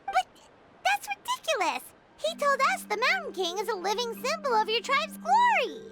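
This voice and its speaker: A woman voicing a tiny, excitable floating girl companion in a game, jumpy and flustered. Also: very high-pitched, normal speed. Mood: shocked. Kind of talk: game voice acting. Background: game music.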